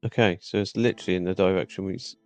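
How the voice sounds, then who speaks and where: A man's voice: deep voice, fairly even intonation, very fast, no room sound, soft background music. A man giving casual commentary while he plays a video game.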